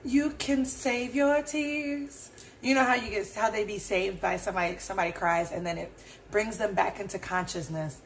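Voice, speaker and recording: A woman talks through her thoughts on her own podcast, her voice hoarse and roughened. She rambles in an earnest, unhurried way, circling back to her points with plenty of fillers. Her pitch sits at an ordinary middle level, and faint background noise hums under the recording. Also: fairly even intonation. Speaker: podcast host. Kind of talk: casual solo reflection.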